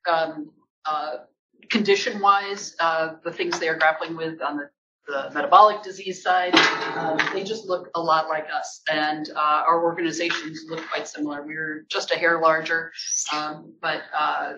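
A man introduces speakers at an industry event in a loose, conversational way, often restarting his sentences. His voice is bright and forward. The sound is dull and lo-fi, with a faint hiss behind it. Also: fairly high pitch, flat delivery, moderate pace. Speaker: host of industry association event. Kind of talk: event panel hosting.

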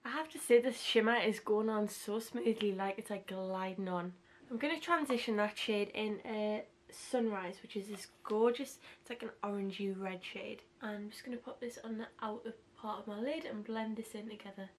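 A young woman in her twenties with a husky voice, chatting casually through a makeup tutorial in a small bedroom, gushing over products. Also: mid-range voice, moderate pace. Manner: chatty and gushing, heavy use of "like". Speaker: beauty vlogger.

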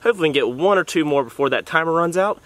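A man's voice: fairly high pitch, animated, very fast, a bit bright, dry recording. A young man, chatting upbeat to viewers while fishing from a small boat outdoors, recorded on an action camera. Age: young adult. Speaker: fishing vlogger. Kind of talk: casual vlog commentary.

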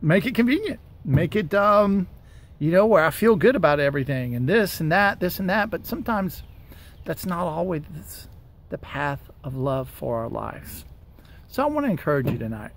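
A man's voice: fairly high pitch, sing-song, normal speed. An American man in his forties giving casual, earnest encouragement to the camera, speaking conversationally outdoors into a handheld device. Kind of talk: casual devotional talk to camera. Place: outdoors by a wooded parking lot. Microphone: camera or phone at arm's length. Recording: outdoor ambient noise.

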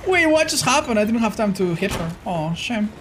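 A man in his thirties doing excitable live gameplay commentary for a chat, exclaiming and repeating phrases for emphasis. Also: sits high, moderate pace, background noise. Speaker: video game streamer. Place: indoor streaming room.

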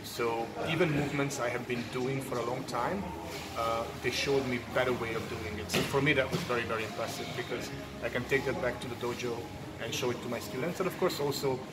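A man's voice: mid-range voice, steady tone, brisk. A man in his forties, originally from Hungary, speaking to camera in an earnest and thoughtful way with frequent ums and uhs, as a jiu-jitsu coach giving a testimonial in a martial arts gym. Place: large indoor martial arts gym with mats and people training. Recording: echoey gym with loud background noise.

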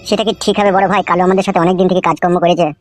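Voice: medium pitch, flat delivery, rapid-fire, no echo, studio quality, soft background music, natural voice. A performer voicing a deferential male henchman in a comic cartoon, questioning but compliant. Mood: doubtful.

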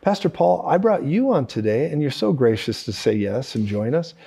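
A man's voice: medium pitch, sing-song, normal speed, vocal fry, mellow, breathy edge. A man in his forties hosting a casual broadcast interview in a studio, effusive and full of thanks. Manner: effusive, repeats praise and thanks. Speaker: host. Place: cozy studio set with armchairs.

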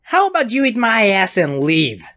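A woman's voice: mid-range voice, sing-song, moderate pace, a bit bright, a little hiss or hum. A woman voicing a bossy, exasperated young shrine maiden with a sarcastic, scolding manner in a parody cartoon. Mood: dry, sarcastic. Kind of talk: animated parody cartoon acting.

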